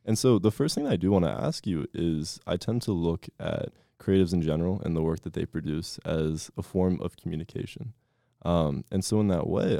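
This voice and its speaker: A young man hosts a podcast with a very low, gravelly voice that has a mellow, dark tone. He speaks in an easygoing way, stretching out his questions with frequent ums. The recording is close and dry. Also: no room sound. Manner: easygoing, with frequent fillers and a relaxed pace. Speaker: podcast host. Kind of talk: casual interview hosting.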